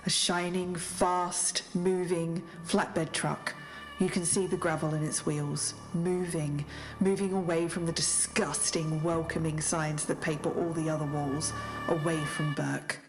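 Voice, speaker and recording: A woman acting a measured, lower-voiced Australian investigator. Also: flat delivery, faint music behind. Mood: brooding, fixated. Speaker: podcast co-host character. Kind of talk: scripted audio fiction narration.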